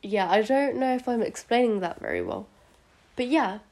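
A woman's voice: a little high, wildly swooping pitch, normal speed, a little mellow. A teenage girl with a British accent hosting a casual solo podcast, hesitant and rambling, sounding sick and sniffly. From United Kingdom, born in India. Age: teenager, about 14 to 15. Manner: hesitant, rambling, self-conscious. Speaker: podcast host.

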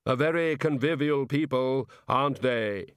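Guy doing the grim reaper in an audiobook, polite, measured, implacable, altered timbre, close dry sound. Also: medium pitch, clean tone, dry recording, pitched above the performer's own voice, close to an everyday voice. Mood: polite, unbothered.

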